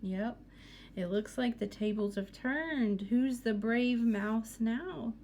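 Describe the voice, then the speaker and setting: A woman's voice: on the high side, slow, a little mellow, slight background noise. A woman teacher reading a picture book aloud to students, expressively, with asides and questions for young listeners.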